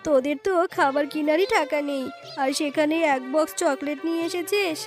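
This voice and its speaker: A woman's voice: high-pitched, a little fast, faint music behind, close to an everyday voice. A woman voicing a suspicious, greedy tigress auntie in a children's cartoon, with an accusing, scheming manner. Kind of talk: children's fairy-tale cartoon dubbing.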